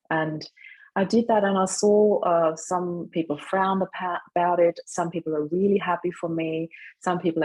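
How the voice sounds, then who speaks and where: A woman's voice: low-pitched, vocal fry, a little mellow, husky, poor audio. A middle-aged woman telling a personal story as an interview guest, reflective and emphatic, sometimes voicing remembered remarks. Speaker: podcast guest, grief coach.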